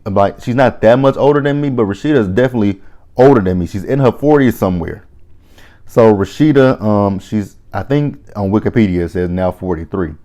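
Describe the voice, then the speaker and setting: A man in his thirties with a deep, low-pitched voice talks through a reality show episode in a loose, conversational way. He is blunt and opinionated and swears freely. He often stops and restarts his sentences as he thinks aloud. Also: dark tone. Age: 30s. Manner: rambling with frequent restarts. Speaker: reality TV reviewer. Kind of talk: casual recap commentary.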